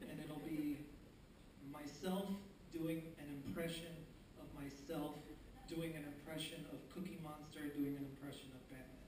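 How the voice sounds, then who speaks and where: A young man with a high, soft voice works a comedy stage, halting and self-deprecating. His voice rings around a big theater from a distant mic, with steady room noise behind it. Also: heavy reverb. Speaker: stand-up comedian. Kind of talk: stand-up set.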